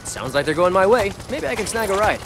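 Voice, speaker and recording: A man's voice: high voice, very animated, fast talker, heavy background noise. An actor playing a young, cocky, upbeat male superhero in a video game, with a glib, quipping delivery. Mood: wisecracking. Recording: loud game music over voice.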